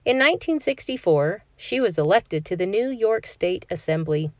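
A woman's voice: medium pitch, moderate pace, no room sound. Woman reading a picture book aloud. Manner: clear, steady storytelling for kids. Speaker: read-aloud narrator.

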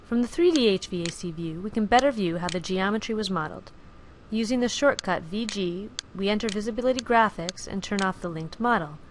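A woman giving clear, even, scripted narration for a software screencast tutorial, recorded as a studio voice-over. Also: mid-range voice, fairly quick, slight background noise, rough recording. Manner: clear, even instructional delivery.

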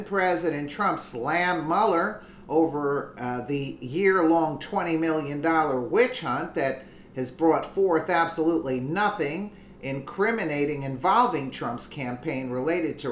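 A commentator reading a news article aloud with deliberate delivery and casual asides. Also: mid-range voice, lively intonation, reverberant, a little hiss or hum.

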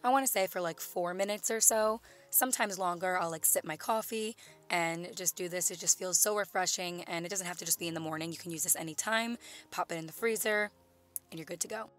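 A woman in her twenties with a slightly raspy voice, doing an enthusiastic voiceover for her beauty vlog, gushing about products she loves. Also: lowish voice, brisk, fairly bright tone. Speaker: beauty vlogger. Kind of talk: voiceover for a skincare video. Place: home room, voice-over recorded separately.

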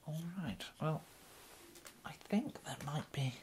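Guy acting as a polite english tailor, breathy half-whispered asmr voice, hesitant, noisy recording with crinkly handling. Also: a little high, lively intonation, slow, voice pushed up. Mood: courteous, pleased. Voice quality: soft, breathy, often slipping into whisper. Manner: hesitant, courteous, murmuring. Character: polite, fussy English gentleman tailor serving a customer. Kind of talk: ASMR roleplay. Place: indoors, plain small room, close to camera.

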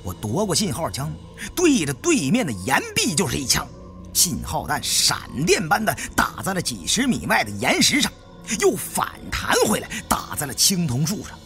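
A man narrating an adventure story in a dramatic, expressive storytelling manner. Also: a little high, normal speed, faint music behind. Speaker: audiobook narrator.

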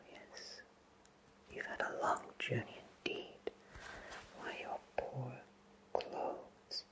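A man whispers right into the mic, playing a cheerful fantasy potion shopkeeper. His delivery is slow and genteel, with theatrical pauses. The whisper is crisp and bright, made for ASMR roleplay. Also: mid-range voice, poor audio. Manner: theatrical, genteel, alliterative phrasing with deliberate pauses. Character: cheerful, courteous, flowery-spoken potion shopkeeper in a fantasy town. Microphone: close microphone.

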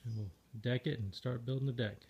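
A man casually narrating, step by step, the assembly of a trailer he is building, talking in a plain, matter-of-fact way with some halting pauses, filmed on a handheld phone in a garage. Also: mid-range voice, fairly even intonation, creaky, a little mellow, a little hiss or hum. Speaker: DIY video maker. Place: garage or shop and outdoors by a trailer. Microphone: handheld phone camera.

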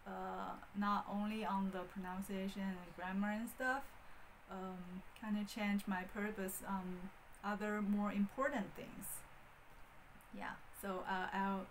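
Woman, thirties, Chinese accent, slow, halting speech, lots of ums, small room, faint hiss. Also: mid-range voice, little pitch movement, poor audio. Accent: Mandarin Chinese. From Beijing, China. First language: Mandarin Chinese. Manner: hesitant, many fillers and restarts. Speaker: vlogger. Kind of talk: casual talk to camera. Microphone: wired handheld mic.

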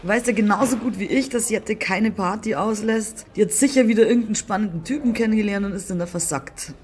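A woman's voice: mid-range voice, quick pace, slight background noise, lightly acted. A young woman playing a matter-of-fact businesswoman daughter in an audio drama, composed and reassuring. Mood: composed, dismissive.

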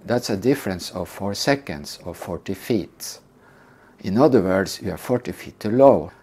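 An older man calmly teaching a scripted lesson on flying approaches. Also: low voice, husky. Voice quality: calm, measured explanation. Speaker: flight instructor. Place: indoor room.

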